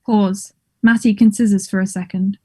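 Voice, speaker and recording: A woman's voice: mid-range voice, fairly even intonation, normal speed, a little mellow. A woman reading stage directions as scripted narration.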